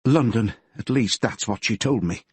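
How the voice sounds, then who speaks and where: Man playing a grieving Yorkshire mother, middle-aged, fretful, homely, higher voice, husky edge. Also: normal speed, no room sound. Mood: sorrowful. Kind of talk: audiobook character voice.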